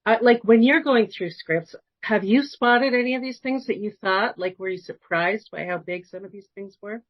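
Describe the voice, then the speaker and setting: A woman's voice: mid-range voice, moderate pace, lo-fi sound. A woman podcast interviewer chatting casually, asking loose, rambling questions in a conversational way.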